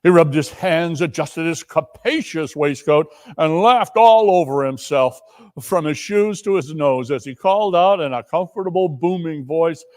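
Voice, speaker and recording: Guy reading a classic story dramatically, slow, slightly high voice, very echoey hall. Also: expressive, darkish tone. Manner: measured, theatrical. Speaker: narrator and performer. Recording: long reverberant hall echo.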